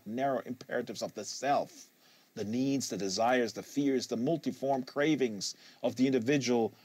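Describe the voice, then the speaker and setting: A man narrating literary fiction aloud in an expressive, deliberate manner with emphatic phrasing. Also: medium pitch, no echo. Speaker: audiobook reader hosting a live reading series.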